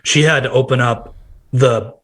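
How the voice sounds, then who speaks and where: A man in his thirties from Nebraska with a nasal, hoarse voice, chatting casually as a podcast guest and telling rambling stories. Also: mid-range voice, steady tone, moderate pace, fairly bright tone. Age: 30s. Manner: rambling, quotes himself in bursts. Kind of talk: casual chat.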